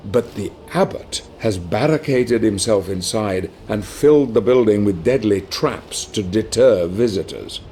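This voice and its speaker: An actor playing a grave, scheming knightly ally who narrates a dark fantasy game in solemn, measured, formal phrases. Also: male voice, on the low side, vocal fry, slight background noise. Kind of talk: dramatic scripted game narration.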